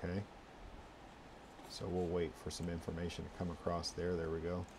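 A man with a low-pitched voice talks through a screen tutorial. He is casual and unscripted, often halting to mutter his way through the next step. Some background noise sits under his voice. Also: completely flat delivery. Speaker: tutorial presenter. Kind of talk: casual screencast walkthrough, thinking aloud.

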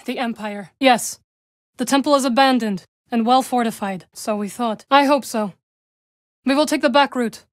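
An actress voicing a composed, dry-witted young witch warrior in a video game, speaking in measured, formal phrases. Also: fairly high pitch, no room sound, close to an everyday voice. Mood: firm, urging. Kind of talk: video game character dialogue.

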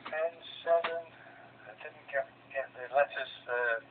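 A man making amateur radio contacts, haltingly spelling out call signs over a radio link. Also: mid-range voice. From England. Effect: radio transmission. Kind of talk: ham radio contact exchanges. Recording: tinny band-limited radio, clear hum and noise.

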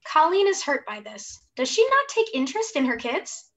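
A young woman reads stage directions aloud on a video call. Her voice is bright and a little high, in scripted narration of a play. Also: very animated, moderate pace. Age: 20s. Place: room at home, indoors.

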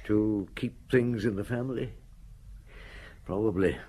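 A man playing a weary, shrewd middle-aged police detective chief in a radio drama, grave and measured. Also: on the low side, mellow, slightly rough. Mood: gentle.

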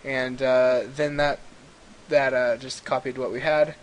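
A man giving a casual, rambling software tutorial, recorded on a computer microphone a little away from him. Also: mid-range voice, flat delivery, a little slow. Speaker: tutorial host. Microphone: computer mic a little far away. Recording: clearly hissy amateur computer mic recording.

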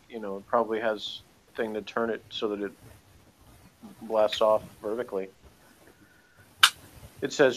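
A man co-hosting a casual review, speaking in a halting, colloquial way. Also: medium pitch, a bit bright, a little hiss or hum, rough recording. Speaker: review co-host. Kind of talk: casual chat.

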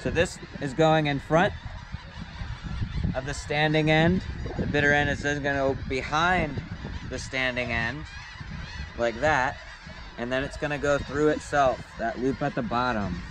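Young man, patient deckhand-teacher, plain mid-pitched voice, outdoor boat recording with faint background sound. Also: measured pace, long pauses, noisy background. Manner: patient, step-by-step, plain-spoken. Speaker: sailing instructor. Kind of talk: hands-on knot-tying demonstration. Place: outdoors on a wooden boat deck by the water.

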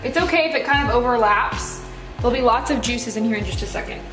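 A woman's voice: a little high, quick pace. An American woman chattily walking through recipes step by step in a casual home cooking demonstration. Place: home kitchen, indoors. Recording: echoey kitchen with music behind. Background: music.

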